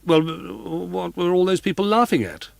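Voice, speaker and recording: A man's voice: high-pitched, a little slow, gravelly, no echo, pitched above the performer's own voice, full character voice. A man voicing a bewildered, indignant male opera co-manager asking pointed questions.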